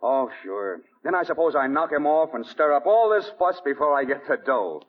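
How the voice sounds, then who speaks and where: Through a tinny old radio recording comes a defiant 1940s hoodlum. He is animated and fairly high in pitch, sneering out slangy tough-guy retorts. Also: normal speed. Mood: indignant, sneering. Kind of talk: 1940s radio drama acting.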